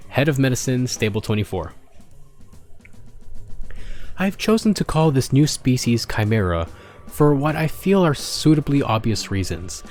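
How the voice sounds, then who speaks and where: A man's voice: mid-range voice, a little mellow, close to an everyday voice. A man reading as a pony doctor dictating grim research notes in a formal, clinical manner, for a storybook.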